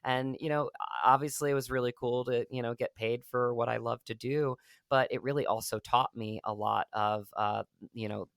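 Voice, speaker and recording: Man, actor guest, reflective and rambling, steady even pitch, crisp clear voice. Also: normal speed, dry recording, clean studio sound. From rural Virginia, USA. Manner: reflective, rambling, many fillers. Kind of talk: casual interview talk.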